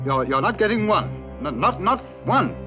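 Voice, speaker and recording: Animated film role: firm yet nervous young husband, stuttering over music. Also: male voice, sits high, moderate pace. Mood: nervous defiance. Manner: stammering, emphatic.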